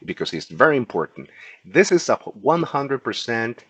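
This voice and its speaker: A man's voice: medium pitch, big pitch swings, moderate pace, fairly bright tone, breathy edge, no room sound. A man from Peru with a Peruvian Spanish accent, Spanish his first language, giving a chatty recorded lesson and often ending sentences with "okay?". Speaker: insurance agent teaching a class. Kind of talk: casual explanatory video lesson.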